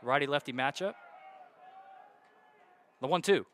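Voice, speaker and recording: A young man calling baseball play-by-play on radio, walking through counts and base runners in a steady flow. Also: medium pitch, a little slow, a faint crowd, slight background noise. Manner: steady count-and-situation narration, rising loud on hits. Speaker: play-by-play announcer.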